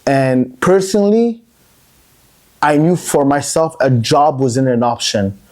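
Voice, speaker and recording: A man's voice: medium pitch, lively intonation, slow pace, darkish tone. A man from Montreal, a podcast guest and entrepreneur, telling his story in a chatty, looping way that repeats words. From Montreal, Canada. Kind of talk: casual podcast interview.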